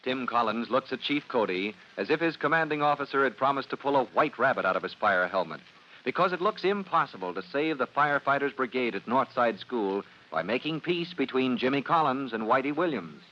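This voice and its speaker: A man's voice: medium pitch, expressive, a little fast. A man narrating as a 1950s radio announcer, with dramatic, polished delivery. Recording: muffled old radio recording, faint noise.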